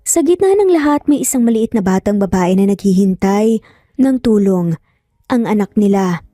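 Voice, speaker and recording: A woman's voice: medium pitch, lively intonation, normal speed, dark tone, no room sound, clean studio sound, soft background music. A woman narrating a dramatized romance story as a storyteller.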